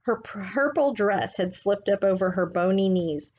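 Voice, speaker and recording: A woman reading a book aloud like a teacher, in a gentle, measured, explanatory way. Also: medium pitch, expressive, dull audio.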